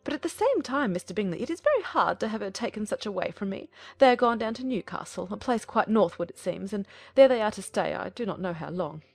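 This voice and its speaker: A woman's voice: medium pitch, big pitch swings, fast, gravelly, few pauses, a little mellow, no echo. A woman performs a gushing middle-aged mother from a period novel audiobook, fussy and meddling. Her chatter races along and leaps up and down with self-important exclamations, recorded close and dry over music. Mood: proud, complaining. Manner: effusive, breathless chatter, exclaiming.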